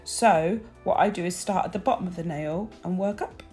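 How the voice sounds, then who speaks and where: A woman with a croaky early-morning voice, chatting bubbly through a nail art tutorial and sharing tips. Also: on the low side, normal speed, darkish tone, faint music behind. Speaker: nail technician.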